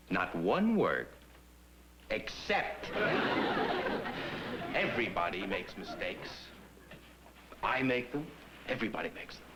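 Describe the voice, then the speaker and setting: An actor playing a nervous young male efficiency expert who stammers and apologizes. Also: lowish voice. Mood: angry. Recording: noisy old TV sitcom audio.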